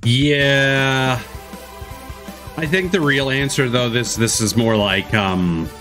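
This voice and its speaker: A man's voice: medium pitch, slow, fairly bright tone. A man hosting a live stream with casual reaction commentary, music playing behind him. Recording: music and trailer audio behind. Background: game showcase music.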